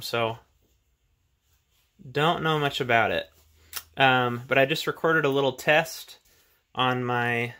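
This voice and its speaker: Male, thirties, bright forward voice, casual hobbyist host explaining things plainly, small indoor room. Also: mid-range voice. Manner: explains step by step in plain words. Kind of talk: casual hands-on tutorial talk.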